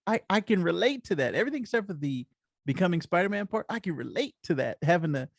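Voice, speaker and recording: Creaky-voiced American man, casual podcast co-host. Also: sits high, sing-song, quick pace, dry recording, clean studio sound. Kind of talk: casual chat.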